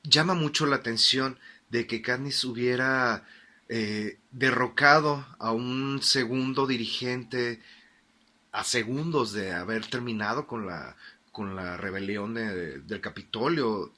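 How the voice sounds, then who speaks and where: A man's voice: medium pitch, slow, sharp tone. A man playing a formal, inquisitive history programme interviewer in a radio drama, asking questions in a hesitant, polite way.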